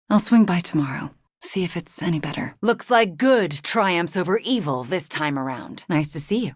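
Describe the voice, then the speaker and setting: A young sorceress from a video game, with a fairly low female voice in a dry, close studio sound. She is guilt-ridden yet wry and guarded. Her delivery is earnest and often hushed. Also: dry recording. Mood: wry, conversational. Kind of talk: acted video game dialogue.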